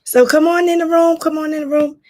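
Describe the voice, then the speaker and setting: A hoarse woman, a life coach, hosting a casual livestream and greeting viewers by name. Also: high voice, fairly even intonation, a little fast, a little mellow.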